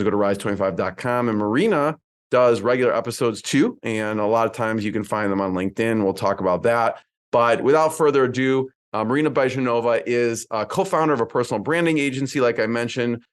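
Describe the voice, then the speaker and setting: Chatty male podcast host. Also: medium pitch. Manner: chatty, filler-heavy with many ums. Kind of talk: conversational interview intro.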